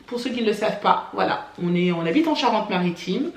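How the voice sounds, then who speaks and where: A woman born in France, telling personal stories to camera for her family vlog in a chatty way, often pausing on "euh" and "voilà". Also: mid-range voice, expressive, echoey room, slight background noise. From France (born in Paris). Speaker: family vlogger. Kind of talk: casual personal storytelling to camera.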